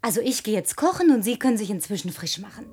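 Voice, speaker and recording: An actress playing a bossy, house-proud young woman in a radio play, brisk and commanding. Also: medium pitch, big pitch swings, music in the background, lightly acted.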